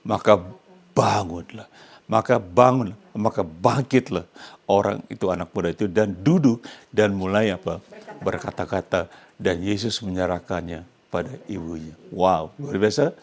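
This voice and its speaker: A man's voice: low-pitched, normal speed. Older Indonesian man preaching emphatically. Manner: emphatic, asks the congregation questions to complete his phrases. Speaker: pastor. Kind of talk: sermon to a congregation.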